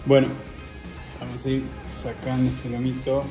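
A man's voice: medium pitch, monotone, moderate pace, over loud music. Argentine man demonstrating a recipe. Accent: Argentine Spanish. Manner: talks viewers through steps while working with his hands. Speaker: cooking show host. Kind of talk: casual cooking demonstration. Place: outdoor grill patio.

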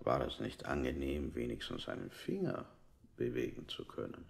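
A man's voice: extremely low pitch, normal speed, creaky, soft background music, heavily acted. A man playing an interrogator in a radio play, putting formal, probing questions. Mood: coolly probing. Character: male interrogator, probing with absurd polite questions. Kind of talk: avant-garde German radio play acting.

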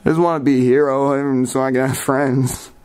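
A man's voice: medium pitch, steady tone, a little fast, darkish tone, slight background noise. A young man making a prank phone call in character, stammering and hesitant, sounding naive and distressed. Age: young adult. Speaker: prank caller. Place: small bedroom, indoors.

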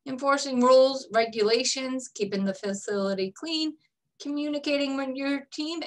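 A woman presenting a scripted recruitment pitch to students as a staffing director, reading lists in a brisk upbeat tone. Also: fairly bright tone.

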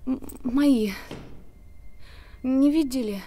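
Reserved, downcast woman, highish voice, TV drama, faint background noise. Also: very slow, mellow. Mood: subdued. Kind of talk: naturalistic screen acting.

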